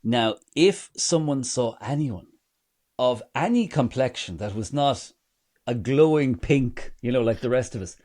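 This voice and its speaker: A man in his forties with an Irish accent, talking in an animated way on a podcast interview, often restarting and repeating words. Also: mid-range voice, unhurried. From Ireland. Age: 40s. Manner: animated, many restarts and repetitions. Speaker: guest storyteller.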